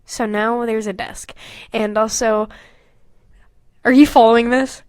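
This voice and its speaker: A woman's voice: on the high side, steady tone, measured pace, a little mellow, clean tone, dry recording, clean studio sound. A young woman podcast host casually reading aloud with comedic commentary, swearing freely and mocking her own material in exasperated asides.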